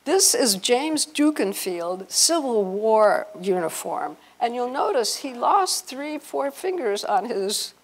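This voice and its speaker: Older woman with a creaky voice, presenting. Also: a little high, sing-song. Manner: halting, repeats and restarts phrases. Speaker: presenter, speaker at a convention. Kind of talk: informal slide-show talk of family history. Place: hotel conference room, before a seated audience.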